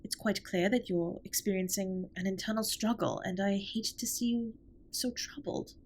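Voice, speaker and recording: A woman playing a formal, caring alien spaceship captain in an intimate audio drama, speaking in a measured, precise way with a breathy, husky voice. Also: mid-range voice, slight background noise, lightly acted. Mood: concerned, earnest. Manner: formal, measured, precise wording with few contractions. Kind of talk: scripted roleplay audio drama, intimate comfort monologue.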